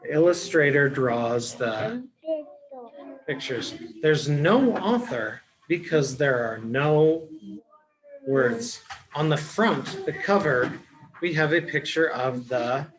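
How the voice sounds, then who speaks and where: A man reading a picture book aloud to young children as a teacher, explaining simply with deliberate pauses. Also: on the high side, very animated, background noise. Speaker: children's storybook reader, early education teacher.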